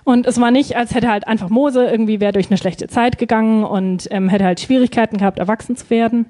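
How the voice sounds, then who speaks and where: A woman's voice: a little high, fairly even intonation, a little fast, dark tone. A woman interpreting a sermon live, phrase by phrase, hesitating and feeling for words with frequent ums.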